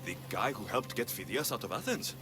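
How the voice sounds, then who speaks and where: Casting: man to play a gruff, sceptical young Greek game hero, very lively intonation, music underneath. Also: lowish voice, fairly quick, lightly acted. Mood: questioning, determined. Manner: blunt, questioning. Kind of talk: video game cinematic acting. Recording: voice over loud game music.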